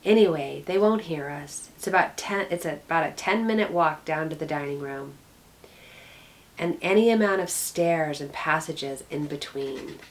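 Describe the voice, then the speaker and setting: A woman's voice: deep voice, normal speed. A woman reading aloud as an eager, confident schoolboy, the eldest brother in a storybook. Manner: read aloud plainly from a storybook.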